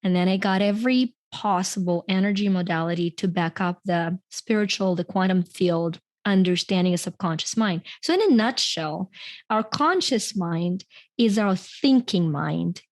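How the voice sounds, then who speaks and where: A woman's voice: on the low side, moderate pace, dry recording. A middle-aged woman from Ukraine, a podcast guest telling her story in a chatty way, often laughing mid-sentence. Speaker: podcast guest, author and coach. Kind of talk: conversational interview storytelling.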